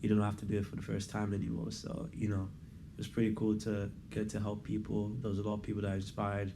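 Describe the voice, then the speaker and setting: A man's voice: fairly low pitch, completely flat delivery, quick pace. A young man as an interview guest, talking in a laid-back, rambling way, full of "like" and "you know". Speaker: guest, program member. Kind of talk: casual interview testimonial. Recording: clear background noise.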